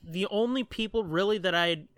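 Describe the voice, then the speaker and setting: A man's voice: high voice, normal speed, a bit bright, smooth, no room sound. A man co-hosting a podcast in casual, rambling and casually profane chat. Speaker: podcast co-host.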